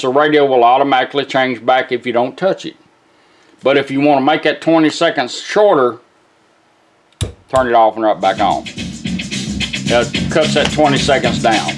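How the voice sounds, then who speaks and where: A man giving an informal, folksy hands-on product demonstration, explaining each step in an instructional way. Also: mid-range voice. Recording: music playing behind the voice.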